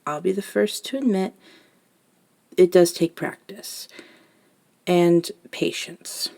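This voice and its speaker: A woman voicing a gentle elder vampire in an ASMR roleplay, speaking softly and breathily, often near a whisper, soothing and reassuring. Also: lowish voice, vocal fry. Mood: patient, explaining. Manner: slow, soothing, reassuring, with long pauses. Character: gentle elder female vampire mentoring a nervous young one. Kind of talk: soft-spoken ASMR roleplay monologue.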